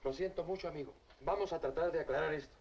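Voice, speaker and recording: An actor playing a calm, sympathetic investigating official with measured wording, on an old 1950s film soundtrack. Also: male voice, on the high side, close to an everyday voice. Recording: dull, faintly noisy old film soundtrack.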